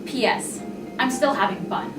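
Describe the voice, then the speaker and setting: A woman reading a child's letter out loud. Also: mid-range voice, animated, normal speed. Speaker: staff member reading aloud. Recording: roomy distant mic under loud music. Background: loud music.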